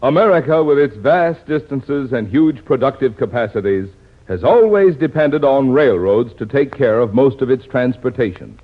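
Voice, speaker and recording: A man's voice: mid-range voice, lively intonation, moderate pace. A man reading scripted announcements in the manner of a 1950s radio announcer. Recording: tinny, narrow 1950s radio broadcast.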